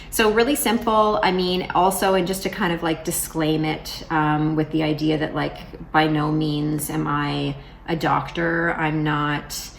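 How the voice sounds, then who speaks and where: A woman in her forties chats to her camera in a loose, rambling way, full of fillers and restarts. Her voice sits low and carries a gravelly rasp. A faint hum of the room runs behind her. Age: 40s. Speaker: vlogger. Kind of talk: casual vlog talk to camera.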